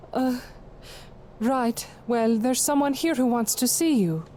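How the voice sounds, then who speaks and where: A woman playing a gentle, hesitant mother in an audio drama. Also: a little high, slow, a little hiss or hum.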